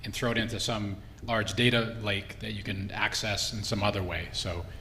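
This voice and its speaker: Halting male panel moderator. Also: on the low side, little pitch movement, bright, forceful tone. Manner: casual, halting, repeats words. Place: conference hall. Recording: echoey room, faint hum.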